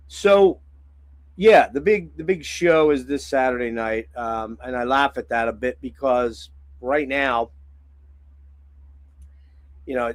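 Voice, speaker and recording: Hoarse man rambling on a livestream. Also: medium pitch, dry recording. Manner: rambling, frequent throat clearing and filler words. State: hoarse and phlegmy. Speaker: livestream host. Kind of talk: casual livestream chat.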